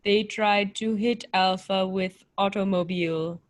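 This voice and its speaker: A woman playing an emotionless living machine in a radio play, speaking in flat, clipped, blunt phrases. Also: mid-range voice, natural voice. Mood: flat, matter-of-fact. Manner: flat, slow, clipped delivery. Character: emotionless living machine man, blunt, menacing, primitive speech. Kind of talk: old-time radio play acting.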